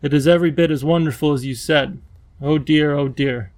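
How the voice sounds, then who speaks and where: A man's voice: on the high side, steady tone, a little fast, darkish tone, no echo, lightly acted. A man voicing a kindly, fussy older male professor who speaks in a flustered, old-fashioned way. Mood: awed.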